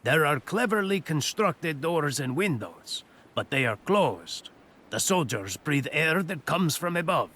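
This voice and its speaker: An actor playing a cunning, boastful master thief in a fantasy audio drama, with a theatrical, self-assured delivery. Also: male voice, on the high side, very animated, unhurried, sharp tone, heavily acted. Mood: guarded, commanding.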